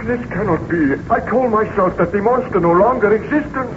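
A defiant, anguished gentleman scientist, acted by a man declaims in a high-pitched male voice with formal, impassioned phrasing. The old 1930s radio serial recording is muffled, with clear noise. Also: normal speed, natural voice. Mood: shocked. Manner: theatrical, impassioned, formal diction.

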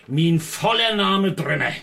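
A stubborn, hot-tempered country farmer in a radio drama. His northern German dialect comes out creaky and forceful, with a blustering, indignant edge. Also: fairly high pitch, animated, slow, a little hiss or hum, exaggerated voice. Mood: stern, demanding. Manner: blustering, rustic dialect speech.

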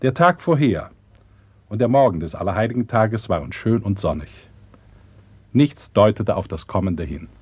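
A man narrates in a low voice recorded very dry. His reading is scripted, sober and factual, with a rough husky grain and a measured manner. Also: animated, no room sound.

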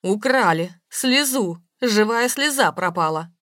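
A woman's voice: mid-range voice, lively intonation, normal speed, a bit bright, no room sound, clean studio sound, close to an everyday voice. Woman acting histrionic, indignant wealthy lady. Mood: distraught, defensive. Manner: dramatic, defensive.

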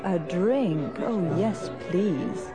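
An actress playing a German teacher from Berlin, speaking in slow, clearly articulated textbook lines. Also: female voice, on the low side, loud music behind the voice, over a noisy crowd. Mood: polite. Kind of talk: scripted language course dialogue.

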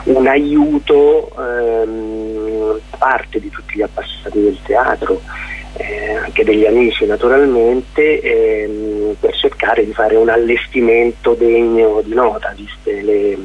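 A man talks slowly and hesitantly, often searching for words. His voice is on the low side and rough-edged. He sounds like an interview guest phoning in to a radio show, on a narrow telephone line. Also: fairly even intonation. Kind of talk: informal radio phone interview.

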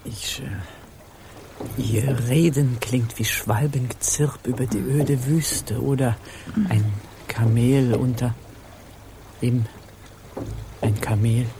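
An actor playing an awed pirate crewman in a radio drama, haltingly groping for words. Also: medium pitch, steady tone, background noise. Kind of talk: German radio drama acting.

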